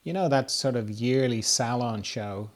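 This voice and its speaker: A man hosting a podcast, chatting casually in a halting, anecdotal way. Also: mid-range voice. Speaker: podcast host. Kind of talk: casual chat.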